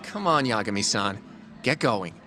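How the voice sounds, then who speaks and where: A man's voice: on the low side, lively intonation, normal speed, sharp tone, soft background music. A man acting as an earnest, polite young lawyer colleague in a video game drama, articulate and insistent. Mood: earnest, coaxing.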